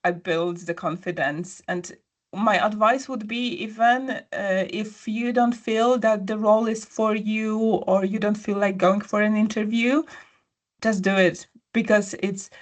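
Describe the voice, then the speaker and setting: A woman's voice: medium pitch, fairly even intonation, a little slow. A woman guest, telling a personal story in a conversational interview with many fillers. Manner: storytelling, frequent fillers and restarts.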